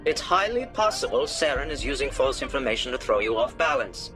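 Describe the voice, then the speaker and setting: Man as lively-pitched skeptical alien councilor. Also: fairly quick, natural voice. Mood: stern, cautious. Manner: formal, emphatic. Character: skeptical male alien councilor, cautious and official. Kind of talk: video game voice acting. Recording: music behind the voice.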